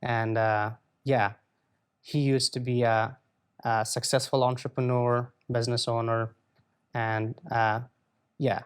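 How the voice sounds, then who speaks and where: A man in his twenties from Afghanistan, answering interview questions in an earnest, measured way with frequent pauses. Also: mid-range voice, little pitch movement, clean tone. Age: 20s. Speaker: guest.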